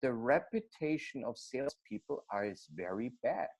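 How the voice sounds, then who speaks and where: Austrian-accented man, halting speech. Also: mid-range voice, sing-song, poor audio. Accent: Austrian German. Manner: hesitant, many fillers and restarts. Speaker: guest. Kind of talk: casual interview.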